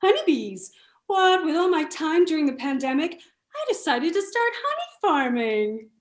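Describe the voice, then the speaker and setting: A woman's voice: high-pitched, very animated, moderate pace, roomy sound. A woman playing a cheerful suburban beekeeper neighbor, upbeat and matter-of-fact, in a comic radio ad. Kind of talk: comic parody radio advertisement.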